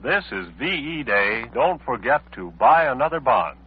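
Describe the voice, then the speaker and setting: A man's voice: mid-range voice, big pitch swings, moderate pace, husky. A man reading formal network announcements in 1940s wartime radio style. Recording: thin phone-like old radio recording.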